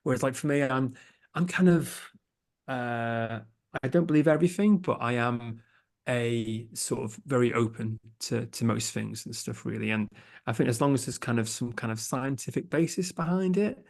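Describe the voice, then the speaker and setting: A man's voice: medium pitch, moderate pace, vocal fry, darkish tone. A young man with an English accent, a podcast producer chatting as a guest in a casual interview, hesitant, with many fillers and restarts. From England.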